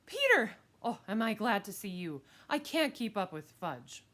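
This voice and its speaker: A woman's voice: mid-range voice, sing-song, unhurried, a bit bright, pitched above the performer's own voice. A woman voicing a harried, affectionate, anxious mother in a children's audiobook, fretful and coaxing. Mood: breathless hollering.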